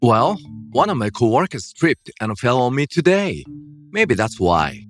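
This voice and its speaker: A man's voice: medium pitch, very animated, a little slow, a bit bright, soft background music. A man playing an arrogant, fault-finding husband in his thirties, scornful and demanding, in a dubbed story. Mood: evasive.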